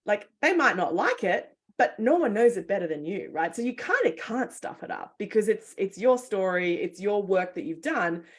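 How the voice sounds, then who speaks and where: A woman's voice: mid-range voice, expressive, moderate pace, hardly any pauses. A woman hosting a webinar, native English speaker, talking in a casual, chatty way with frequent fillers. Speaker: webinar host.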